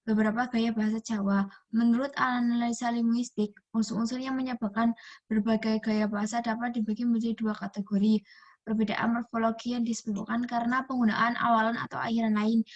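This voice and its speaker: A woman's voice: a little high, muffled recording. A young woman from Indonesia, a university student reading a class presentation aloud from a text and often restarting words. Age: young adult.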